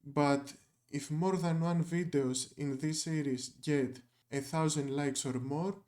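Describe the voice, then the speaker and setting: A man's voice: a little high, fairly even intonation, slow, a little mellow. A young man whose first language is Greek, narrating a scripted video essay with dry, wry asides.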